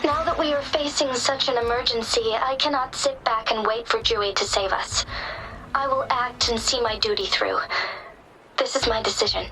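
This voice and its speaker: Woman voicing game magistrate lady, young, calm n dutiful, formal measured talk, highish voice, loud music under it. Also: close to an everyday voice, rough recording. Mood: composed. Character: composed young female magistrate, dutiful and gracious. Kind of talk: formal video game cutscene acting. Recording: dramatic music behind the voice.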